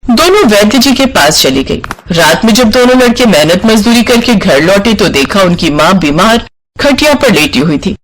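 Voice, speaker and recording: High-pitched, animated male storyteller. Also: moderate pace, rough recording. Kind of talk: scripted animated-story narration.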